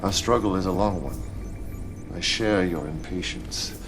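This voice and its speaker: An actor playing a zealous, commanding prophet of a militant cult in a video game cutscene, speaking in a measured, theatrical way over music. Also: male voice, low voice. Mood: measured, authoritative.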